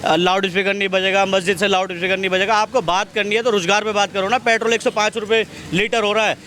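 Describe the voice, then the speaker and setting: High-voiced, argumentative man. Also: level tone, fast talker, pushed and bright. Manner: argumentative, emphatic, repeats phrases. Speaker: member of the public being interviewed. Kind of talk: impassioned street opinion. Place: outdoors on a city street. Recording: outdoor street with traffic noise. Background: faint street and vehicle noise.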